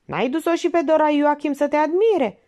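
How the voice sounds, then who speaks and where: A woman voicing a sharp, sarcastic older female amateur detective who needles a suspect with pointed, mocking remarks. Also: high-pitched, voice pushed up, natural voice.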